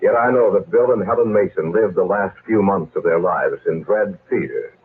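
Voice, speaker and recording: An actor playing a grave man narrating a haunted tale in solemn, measured, portentous old-time radio style. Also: sits low, lightly acted. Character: grave man reading a dead friend's diary aloud. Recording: muffled, noisy old radio recording.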